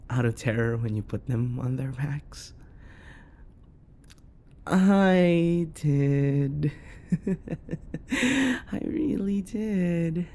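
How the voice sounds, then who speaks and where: A man playing an unhinged, possessive yandere predator lover in ASMR roleplay, often slipping into breathy whispers and a menacing croon. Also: a little high, darkish tone, full character voice. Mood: manic, gloating.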